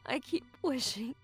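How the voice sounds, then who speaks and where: A woman playing a shaken but defiant young survivor in an audio drama, halting and emotionally raw. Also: fairly high pitch.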